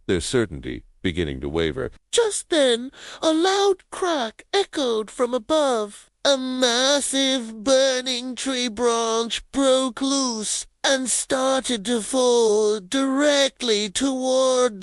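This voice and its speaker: A woman storyteller reading a children's cartoon tale, slow and deliberate in pace. Her voice rises and falls dramatically from phrase to phrase. It is recorded dry and close, with no room sound at all. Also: high voice, big pitch swings, no echo. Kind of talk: scripted story narration for children.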